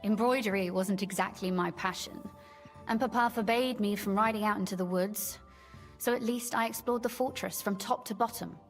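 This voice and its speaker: A woman's voice: medium pitch, normal speed, lightly acted. An actress playing a young, curious noblewoman in a video game, speaking in a genteel, articulate and teasing manner. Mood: nostalgic, intrigued. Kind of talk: video game dialogue acting. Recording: long reverb tail, faint game music behind.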